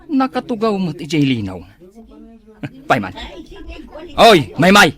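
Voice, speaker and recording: A man's voice: on the high side, huge pitch swings, slow, bright, forceful tone, exaggerated voice. A man playing a bickering, scolding street sweeper in a comedy skit. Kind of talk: comedy skit dialogue in Ilocano.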